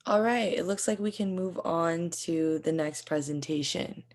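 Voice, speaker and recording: This woman has a slightly low voice and runs the meeting over a video call. She keeps things brisk and polite. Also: a little mellow. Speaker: meeting moderator. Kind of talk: casual meeting moderation.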